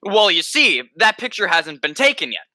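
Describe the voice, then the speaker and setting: Bombastic crackpot president, acted by a young man, amateur radio play; sharp, ringing tone, very dry recording. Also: high voice, big pitch swings, normal speed, no echo, full character voice. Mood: pompous. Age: young adult. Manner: bombastic, pompous. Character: blustering deluded man who thinks himself President Roosevelt.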